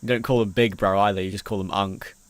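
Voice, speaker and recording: A man's voice: on the low side, fairly even intonation, fast talker, dry recording. A man in his late twenties doing play-by-play commentary on an esports match, trading easy banter with a co-caster. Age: 20s.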